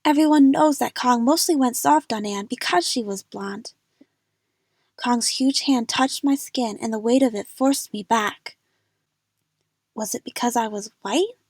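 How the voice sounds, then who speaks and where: A woman's voice: fairly high pitch, unhurried, darkish tone, dry recording, clean studio sound. A woman narrating a first-person story dramatically for an amateur audio drama.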